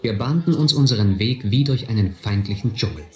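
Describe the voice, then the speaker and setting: A man's voice: on the low side, animated, fairly quick, dark tone, roomy sound, a faint crowd, natural voice. An actor narrating a radio drama as a man recounting his boyhood street adventures. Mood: uneasy, tense.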